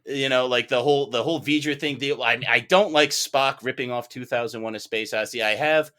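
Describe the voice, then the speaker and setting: A man's voice: mid-range voice, steady tone, a little fast, bright, forceful tone. A talkative, enthusiastic man in a casual, playful film debate as a podcast judge and juror.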